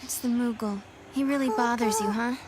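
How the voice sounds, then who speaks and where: An actress playing an earnest, hopeful young heroine in a video game, with a gentle manner. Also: female voice, fairly high pitch, steady tone, fairly quick, heavy background noise, lightly acted. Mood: gentle, curious. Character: earnest, hopeful young woman searching for her sister. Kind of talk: video game English dub acting. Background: music.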